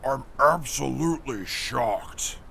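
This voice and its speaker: A man playing a gruff, cold, sardonic and short-tempered wasteland traveller in an audio drama, with a gravelly, husky voice. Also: mid-range voice, animated, slow, a bit bright, a little hiss or hum, full character voice. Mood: dry, sardonic. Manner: curt, dry, callous. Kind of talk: post-apocalyptic audio drama acting.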